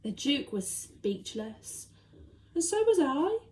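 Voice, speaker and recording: A woman reading a children's story aloud expressively, with dramatic, deliberate emphasis. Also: high voice, very animated, darkish tone.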